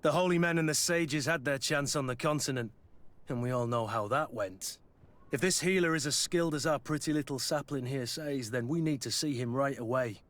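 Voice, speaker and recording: A man's voice: mid-range voice, moderate pace, fairly bright tone, close to an everyday voice. A man acting a blunt, loyal mercenary soldier in a video game, plain-spoken and wry.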